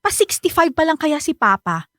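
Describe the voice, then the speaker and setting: An actress playing an affectionate, supportive daughter in a radio drama. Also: female voice, high voice, big pitch swings, moderate pace, a bit bright, no echo, studio quality.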